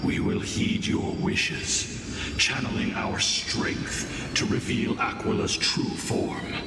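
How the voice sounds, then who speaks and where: A man voicing a grave, loyal thousand-year-old spirit guardian in a video game, speaking formally and with measured words. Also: heavy background noise, lightly acted. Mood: solemn. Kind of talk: scripted video game voice acting. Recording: distant echoey hall under loud music.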